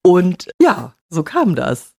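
Chatty woman with a low voice. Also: huge pitch swings, slow pace, vocal fry, warm tone, husky. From Bavaria. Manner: chatty, laughs often, enthusiastic. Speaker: guest, former radio and TV presenter. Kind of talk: casual interview chat.

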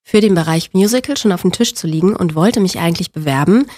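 A woman in her forties from Berlin, a guest talking openly and warmly, often with fillers and laughter. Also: lowish voice, fast talker. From Berlin, Germany. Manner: open, friendly, laughs often, many fillers. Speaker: actress, guest. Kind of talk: casual interview chat.

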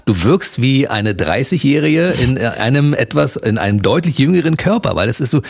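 A man hosting an interview show, asking questions in an enthusiastic, rambling way with a husky voice. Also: mid-range voice, big pitch swings, brisk, no room sound. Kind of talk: casual interview chat.

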